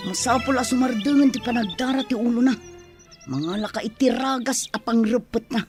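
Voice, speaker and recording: A woman's voice: on the high side, moderate pace, over music, lightly acted. A woman playing a practical, caring village elder sister in an Ilocano radio drama, with an Ilocano accent. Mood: concerned.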